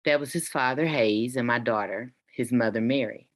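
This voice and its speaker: A woman playing an elderly, courteous but bossy and sinister grandmother in a table read, with a slow, commanding manner. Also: extremely low pitch. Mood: wistful. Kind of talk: virtual table read acting.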